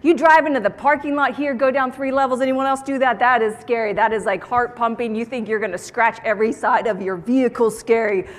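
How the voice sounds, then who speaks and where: A woman giving a motivational keynote talk to an audience on stage, with an animated, theatrical delivery and playful emphasis. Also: fairly high pitch, a little fast, few pauses. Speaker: keynote speaker. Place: large indoor conference room, on stage. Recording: echoey conference hall sound.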